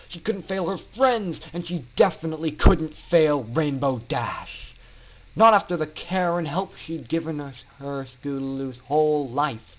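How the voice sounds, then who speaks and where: A man narrating a story in a theatrical, emphatic style with dramatic pauses. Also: on the high side, low-quality recording. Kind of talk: dramatic fan-fiction story reading.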